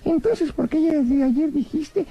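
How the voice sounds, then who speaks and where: A man's voice: very high-pitched, a little slow, vocal fry, warm tone, voice pushed up, heavily acted, low-quality recording. A man voicing a cheeky, wisecracking male rabbit puppet who takes everything literally. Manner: literal-minded, jokey, playful.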